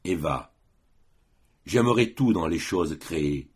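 A man reading poetry aloud as an audiobook reader, solemn and measured, pausing between phrases in a declamatory way. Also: sits low, lively intonation, slightly rough.

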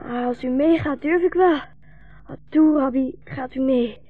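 An eager, trusting young boy in a sixties radio drama. The voice is high and childlike, heard through a thin, phone-like vintage recording. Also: measured pace, dry recording. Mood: eager, pleading. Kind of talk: 1960s radio drama acting.

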